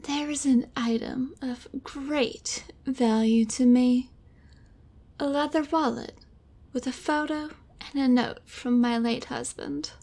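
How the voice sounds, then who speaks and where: A mellow, high female voice an actress in role as a mysterious rich widow in a noir audio drama. She is cool and poised, taking her words very slowly. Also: fairly even intonation, full character voice. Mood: cool, sultry. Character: cool, mysterious, wealthy femme fatale widow.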